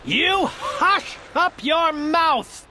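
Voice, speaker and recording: Brief: woman playing a bragging storybook turtle king, blustering, slow and lofty delivery. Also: high-pitched, big pitch swings, fairly bright tone, a little hiss or hum, voice pushed up, exaggerated voice. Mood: haughty. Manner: blustering, proud. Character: pompous boastful male turtle king.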